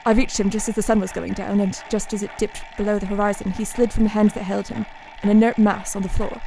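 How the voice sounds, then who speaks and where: A woman's voice: medium pitch, little pitch movement, fast talker, vocal fry, mellow, close to an everyday voice, rough recording. Woman as grave Victorian doctor, noise behind. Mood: brooding, reflective. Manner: formal, literary, reflective. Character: grave, heartsick asylum doctor of Victorian London, a man. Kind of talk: radio play acting, diary narration and dialogue.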